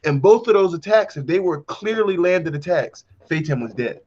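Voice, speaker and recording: A man on an online call explains his point step by step, sounding like he is reasoning it through for the others. His voice is fairly high for a man and reaches the listener through a dull, muffled line. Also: expressive, moderate pace, a little mellow. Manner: explanatory, lays out arguments step by step. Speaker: guest. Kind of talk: casual group discussion.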